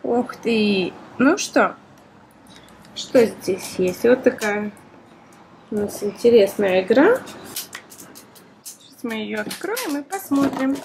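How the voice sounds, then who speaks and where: Woman, high-pitched, lively intonation, child-directed toy demo, exclamatory, playful, noisy camera recording. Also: slow, creaky, long pauses. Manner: exclamatory, full of oohs and gasps. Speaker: toy review host, mother playing with her child.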